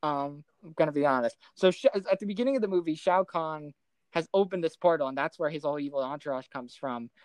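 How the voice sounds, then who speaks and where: A woman with a lowish voice talks films as a podcast co-host. Her delivery is casual and halting, with an exasperated critical edge. The sound is very dry, with no room to it. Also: a little mellow, no echo, poor audio. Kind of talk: casual film discussion.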